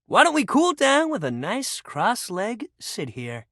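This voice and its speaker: A man's voice: high-pitched, wildly swooping pitch, unhurried, a bit bright, dry recording, clean studio sound, heavily acted. An actor playing an upbeat, friendly but sensitive young male sports jock in a video game, talking in casual, emphatic bro speak. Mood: upbeat, encouraging. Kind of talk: video game character dialogue.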